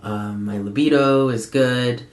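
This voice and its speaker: A young man giving a casual, candid personal update in a conversational, halting way with frequent pauses. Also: medium pitch, fairly even intonation. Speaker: vlogger. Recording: echoey room sound.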